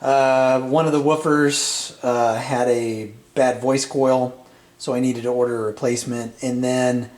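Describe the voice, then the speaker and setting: A man's voice: medium pitch, darkish tone. Middle-aged man rambling in an echoey room. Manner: rambling, halting, hesitant phrasing. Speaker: vintage audio repair channel host. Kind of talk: casual unscripted product chat. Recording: roomy, echoey sound.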